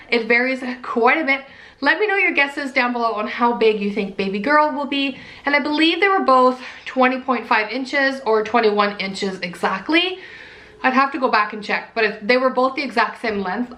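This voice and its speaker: Bright-voiced woman with a nasal, raspy cold. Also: fairly high pitch. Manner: bubbly, chatty, repeats "super". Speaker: pregnancy vlogger. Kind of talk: casual chat to camera.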